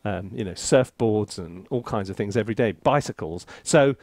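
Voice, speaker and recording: A man chatting casually as he hosts a software review, with an animated, theatrical and self-mocking manner. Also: on the low side, moderate pace, no room sound. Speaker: composer and reviewer, video host.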